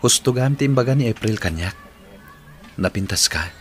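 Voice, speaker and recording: A man's voice: medium pitch, animated, moderate pace, a bit bright, close to an everyday voice. A man playing a polite young suitor in a Filipino radio drama, tentative and courteous.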